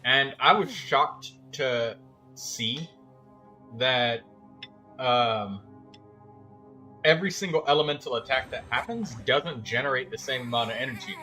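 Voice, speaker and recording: A man giving casual, explanatory game commentary as a streamer, halting now and then as he thinks. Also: mid-range voice, sharp tone, faint music behind, rough recording.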